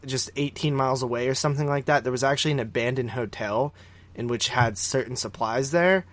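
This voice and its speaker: A male podcast co-host with a mid-range voice talks casually through his notes. His delivery is informal and hesitant, broken by frequent pauses as he finds his way. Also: mid-range voice, fairly bright tone. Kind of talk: casual movie discussion, recounting notes.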